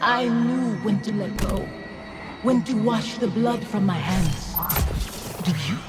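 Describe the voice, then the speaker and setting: An actress playing a ghostly nightmare of a grieving mother in a video game, speaking with cold, deliberate accusation. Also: fairly high pitch, music in the background. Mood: cold accusing. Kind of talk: dramatic video game acting.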